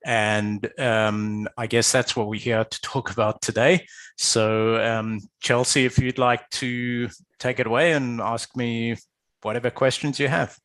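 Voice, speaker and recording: A man from Zimbabwe, a guest expert, answering interview questions in a measured, explanatory way with some hesitation. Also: medium pitch, steady tone, a bit bright, dry recording. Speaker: guest expert, business valuator. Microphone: video call.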